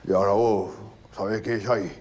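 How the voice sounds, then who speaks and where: An actor with a Rioplatense accent playing a proud, desperate gaucho locked up, swinging between soft comfort and furious threats. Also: male voice, on the low side, steady tone, a little fast, lightly acted. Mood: bitter. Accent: Rioplatense Spanish. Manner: swings from soft consoling to shouting rage. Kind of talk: drama acting.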